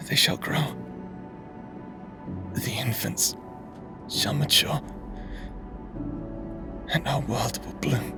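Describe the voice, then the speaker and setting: Man acting a slow, gravelly, wistful lover. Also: medium pitch. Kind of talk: dramatic scripted audio roleplay.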